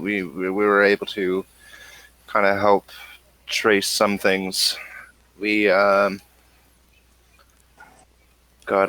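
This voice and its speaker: A man playing an anxious, shaken ship navigator in a tabletop role-play, speaking haltingly and stammering. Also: lowish voice, a bit bright, dry recording, low-quality recording. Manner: hesitant, stammering.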